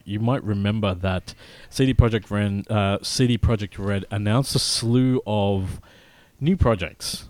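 Low-voiced man co-hosting a gaming podcast. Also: darkish tone, dry recording. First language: not Polish. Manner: halting, many fillers and restarts, apologetic. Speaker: podcast co-host. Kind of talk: casual chat, reading news.

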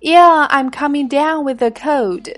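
A woman's voice: high-pitched, measured pace. A woman reading the part of speaker B in a practice dialogue, plain and careful. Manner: plain, careful read-aloud of lesson lines. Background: faint background music.

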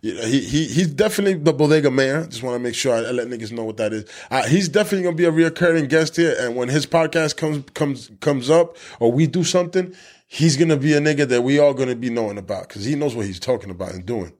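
A man's voice: medium pitch, fast, a little mellow. A man in his thirties hosting a podcast, talking in loose, swearing street slang with many restarts. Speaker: podcast host. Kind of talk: casual chat.

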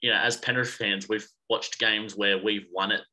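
A young man with a very bright, tense voice talks sport on a podcast. He is earnest, and his sentences tumble out one after another. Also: fairly low pitch, fairly even intonation, normal speed, low-quality recording. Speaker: podcast guest, sports fan. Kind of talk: casual chat.

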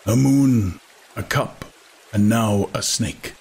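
A man voicing a patient old potter and teacher in an audiobook, speaking in a measured, instructive way. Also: fairly low pitch, vocal fry, darkish tone, slight background noise.

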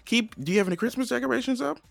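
A man's voice: very high voice, rapid-fire, creaky, dry recording. A young man co-hosting a podcast in casual chat, playful and jokey, sometimes breaking into silly sing-song. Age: young adult. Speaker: podcast co-host.

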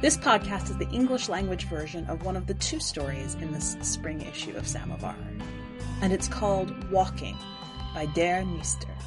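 A woman reading scripted literary narration in a measured, deliberate way. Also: fairly low pitch, music in the background. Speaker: podcast editor and story reader.

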